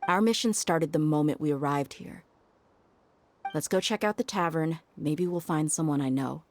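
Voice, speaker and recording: A woman playing a tough, protective desert mercenary in a video game, measured and serious. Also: sits low, slight background noise. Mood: firm, businesslike. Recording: music playing behind the voice. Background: game background music.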